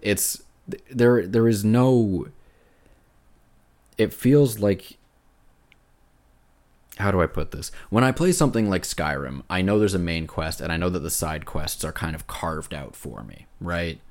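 A man's voice: sits low, animated, measured pace, long pauses, a little mellow. A man co-hosting a casual video game discussion, speaking as an earnest, emphatic enthusiast. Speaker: podcast co-host.

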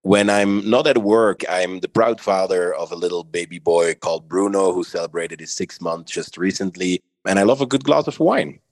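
Brief: man in his thirties from Belgium, thirties, booming low voice, thoughtful podcast guest, close dry mic. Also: no echo. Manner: measured, thoughtful, often adds "right?". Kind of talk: conversational interview answers.

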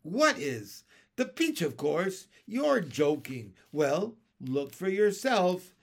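A man's voice: high-pitched, big pitch swings, takes it slowly, gravelly, heavily acted. A man voicing a fat, greedy old aunt with a pompous, fussy manner. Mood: disbelieving.

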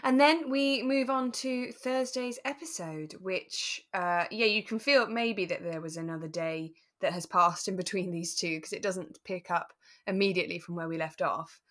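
A woman co-hosting a podcast, recapping casually in a measured, thoughtful way. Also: lowish voice, fairly bright tone. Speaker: podcast co-host. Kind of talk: relaxed chat recapping a radio drama.

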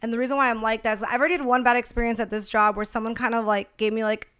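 A woman's voice: a little high, level tone, rapid-fire, a bit bright, smooth. A young woman in casual podcast conversation, candid and slangy with some casual swearing. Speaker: podcast co-host.

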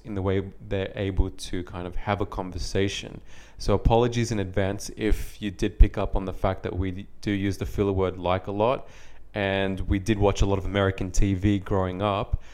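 Man from australia, low-pitched voice, relaxed podcast host, telling stories. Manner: friendly, reflective, easygoing storytelling. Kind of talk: casual podcast chat.

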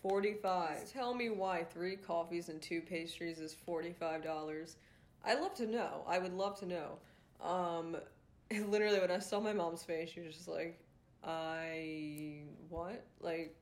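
Young woman from rhode island, stuffy nasal voice, kinda low, venting on her podcast and swearing a lot. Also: measured pace. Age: young adult. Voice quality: nasal, congested. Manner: frustrated, swears often, many fillers. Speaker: podcast host. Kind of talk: casual ranting monologue.

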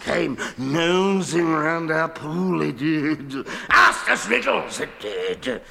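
An actor playing a wretched, slimy creature in a radio drama, croaking and whining as he mutters and wheedles to himself. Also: fairly high pitch, big pitch swings, takes it slowly, pushed and bright, full character voice. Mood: aggrieved, spiteful. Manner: muttering to himself, repeating words, wheedling tag questions.

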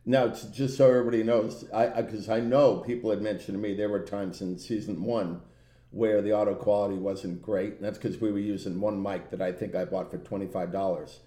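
A man co-hosting a podcast in casual, bantering chat with a loud, animated voice and a roomy, echoey sound. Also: fairly low pitch, fairly quick. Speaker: podcast co-host. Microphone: own podcast microphone.

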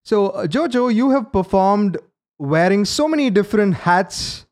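A man's voice: high voice, animated, measured pace, darkish tone. A man hosting an online interview, formally introducing his guest and asking prepared questions over a video call. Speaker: interview host.

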